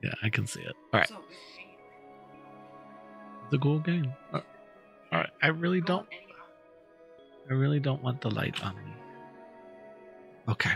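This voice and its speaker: Casting: man in offhand casual chat, gravelly and bright, mid-pitched, music underneath. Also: animated, a little slow, long pauses.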